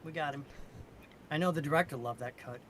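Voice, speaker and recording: A man with a moderately high voice talks as a podcast panelist. He is casual and enthusiastic, landing short emphatic points. A faint rumble runs underneath. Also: fairly quick, a bit bright. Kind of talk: casual fan chat.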